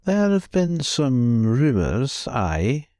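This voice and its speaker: Man playing a mellow, grumbling old man. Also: mid-range voice, very animated, drawn-out words, no echo, clean studio sound. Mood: guarded. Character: gruff, forgetful-seeming old man from the far west.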